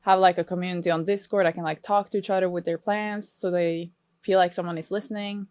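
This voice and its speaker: A woman's voice: on the low side, fairly even intonation, fast talker, darkish tone, dry recording. A Norwegian woman in her twenties, a podcast guest, speaking English with a Norwegian accent in an earnest, reflective way. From Norway. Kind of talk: casual podcast interview.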